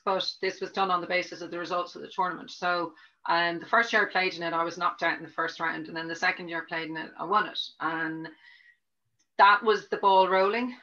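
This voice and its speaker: A middle-aged woman with a Dublin accent talks in a chatty, rambling way and circles back on her words. Her voice sits a little low but rings bright and forceful. The audio is dull and lo-fi. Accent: Dublin Irish. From Ireland. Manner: chatty, rambling, with frequent restarts and fillers. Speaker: tennis coach. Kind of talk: conversational interview answers.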